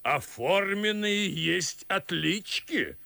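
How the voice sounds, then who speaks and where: An actor playing a pedantic, blustering army colonel in a radio stage comedy. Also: male voice, sits high, expressive, drawn-out words, vocal fry, sharp tone, no echo, heavily acted. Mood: pompous.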